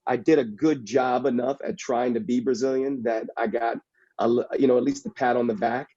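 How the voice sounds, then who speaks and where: Man, from the Bay Area, capoeira practitioner and actor, reflective, halting, mellow voice, muffled video call. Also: mid-range voice, fairly even intonation. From San Francisco Bay Area. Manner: halting, reflective, searching for words. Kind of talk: casual panel discussion.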